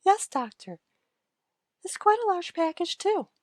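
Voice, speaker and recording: Woman putting on prim eager secretary type, very high voice, radio soap, dry recording. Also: sing-song, measured pace, a little mellow, dry recording, heavily acted. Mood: obliging, curious. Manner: prim, obliging. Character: deferential, curious young female doctor's assistant. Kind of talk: radio soap opera acting.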